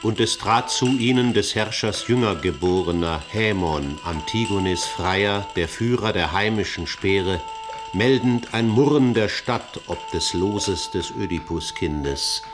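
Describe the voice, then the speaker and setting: A man narrating a radio play in a measured, solemn epic style. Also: sits low. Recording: narration over music. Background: music.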